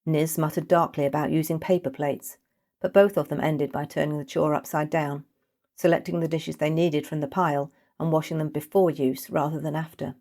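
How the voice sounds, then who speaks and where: A woman's voice: low voice, dark tone. A woman reading a scripted fantasy short story as its narrator.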